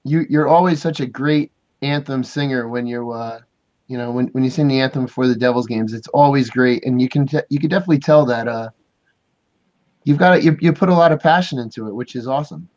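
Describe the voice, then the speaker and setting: A man's voice: medium pitch, a little fast, darkish tone, muffled recording. A man hosting a podcast interview, asking questions in a hesitant way with many ums and restarts. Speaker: podcast host.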